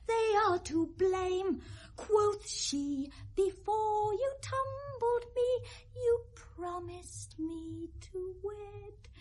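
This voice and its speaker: A woman's voice: very high voice, expressive, drawn-out words, darkish tone, heavily acted. A woman playing a grief-maddened young noblewoman, distracted and drifting between speech and song, in a Shakespeare radio play. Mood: giddy singing. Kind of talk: 1970s radio Shakespeare acting, half sung.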